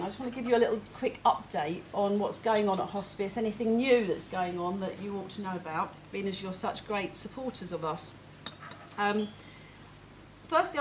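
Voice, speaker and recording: A woman with a big, carrying voice talks in a chatty, familiar way, like someone updating supporters informally. Her pitch is average, and there is clear noise in the room behind her. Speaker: hospice fundraising representative. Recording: noisy room ambience.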